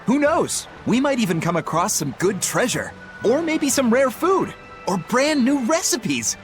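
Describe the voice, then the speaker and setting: Eager, animated young pirate sailor. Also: male voice, very high-pitched, measured pace, soft background music, exaggerated voice. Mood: upbeat. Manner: eager, explanatory. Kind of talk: animated game voice acting.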